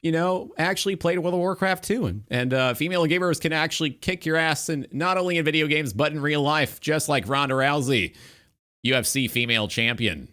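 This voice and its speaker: A man's voice: fairly high pitch, a little fast, pushed and bright. A man hosting a gaming talk show, commenting casually on clips in a profane, hyped way. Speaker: talk show host and streamer.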